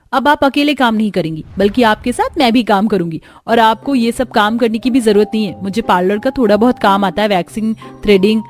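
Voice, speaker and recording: Woman doing a young caring sister-in-law, cartoon, high voice, eager and supportive. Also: natural voice. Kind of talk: Hindi cartoon story dialogue.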